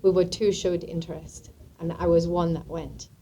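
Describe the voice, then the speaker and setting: A woman's voice: lowish voice, little pitch movement, moderate pace, mellow, slight background noise. A woman from England, a guest musician, talking through her thoughts casually and often restarting her sentences. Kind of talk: casual interview talk.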